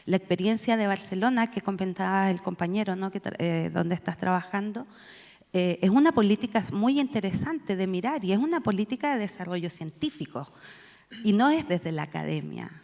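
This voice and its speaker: A woman's voice: mid-range voice, lively intonation, a little fast, vocal fry, darkish tone. A Chilean woman speaking as a panelist and policy analyst, offering thoughtful, searching remarks with frequent filler sounds. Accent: Chilean Spanish. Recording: long reverb from a hall.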